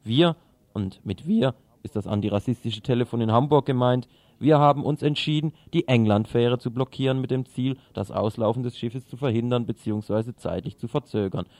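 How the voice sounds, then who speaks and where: A man's voice: mid-range voice, fairly quick, darkish tone, no room sound. A man presenting radio news, reading from a script and now and then stumbling over a word.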